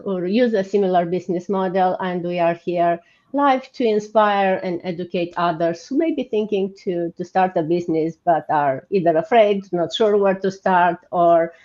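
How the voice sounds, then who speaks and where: A woman's voice: on the low side, moderate pace, mellow. A woman from Romania with a Romanian accent hosting an informal livestream in an encouraging way. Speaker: host. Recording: muffled, band-limited online stream audio.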